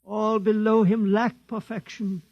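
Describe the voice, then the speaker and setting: Casting: sanctimonious old holy man, high creaky voice, pompous preaching, classic radio drama. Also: flat delivery, unhurried, darkish tone, dry recording, highs cut off. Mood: solemn, pious. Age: older. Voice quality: raspy, creaky. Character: frightened, self-righteous, corrupt old priest. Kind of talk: vintage radio drama acting.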